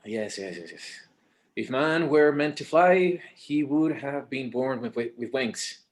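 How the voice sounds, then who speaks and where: An actor playing an exasperated but affectionate grown son in a table read, repeating words hesitantly. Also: male voice, mid-range voice, expressive, a little slow, natural voice. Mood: wry, teasing. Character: adult son, exasperated yet affectionate with his father. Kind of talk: screenplay table read acting.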